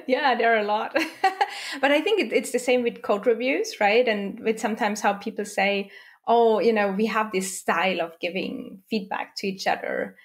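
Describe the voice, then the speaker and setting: A woman in her thirties whose first language is German, hosting an interview over a video call from a home office, friendly and quick to laugh, with many "you know"s. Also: fairly high pitch. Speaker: podcast host. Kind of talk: casual interview conversation. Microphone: video call with wired earphones.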